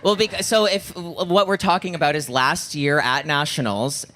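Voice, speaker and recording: A man's voice: on the high side, fairly even intonation, moderate pace, bright, forceful tone. A man in his thirties with an American accent, telling stories casually as co-host of a live podcast, often saying "like". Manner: conversational, peppered with "like". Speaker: podcast co-host and former competitive figure skater. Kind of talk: casual chat and storytelling at a live show. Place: live podcast show before an audience. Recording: noisy live-show audio.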